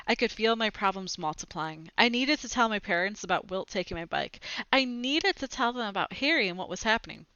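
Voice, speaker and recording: Bright-voiced young woman reading a storybook. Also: on the high side, dry recording. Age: young adult. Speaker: read-aloud presenter. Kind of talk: storybook read-aloud with brief asides. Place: small room at home, indoors. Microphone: headset boom mic. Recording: slightly dull, lo-fi headset sound.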